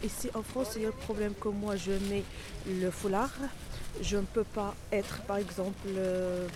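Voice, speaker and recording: A middle-aged woman, a native Arabic speaker, answering interview questions haltingly in non-native French, searching for words. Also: medium pitch, little pitch movement. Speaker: interviewee, home care worker.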